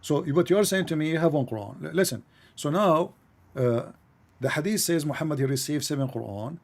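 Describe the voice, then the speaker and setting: A man's voice: a little high, animated, a little fast. A man whose first language is Arabic, hosting a call-in show and pressing callers with repeated, insistent questions in non-native English. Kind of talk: heated call-in debate.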